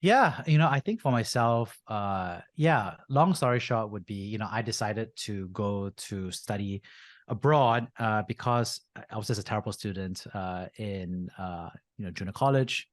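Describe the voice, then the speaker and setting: A man's voice: on the low side, normal speed, dry recording, clean studio sound. A man in his thirties from Singapore, a venture capitalist telling his story as a podcast guest, with frequent fillers. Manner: frequent uh and you know fillers, easygoing. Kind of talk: casual interview storytelling.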